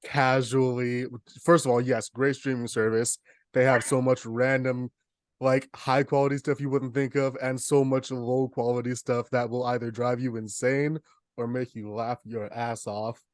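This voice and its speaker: A young man talks as a podcast co-host, spinning humorous stories in a casual way. His voice sits at an ordinary male pitch, and the sound is dry with hardly any room in it. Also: level tone, normal speed, no room sound. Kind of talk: casual chat, humorous storytelling.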